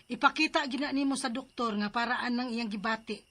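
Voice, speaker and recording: A woman's voice: on the high side, a little fast, fairly bright tone, slight background noise. A woman playing a concerned, insistent friend in a radio drama, urging and emphatic, with a Cebuano accent. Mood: urgent concern.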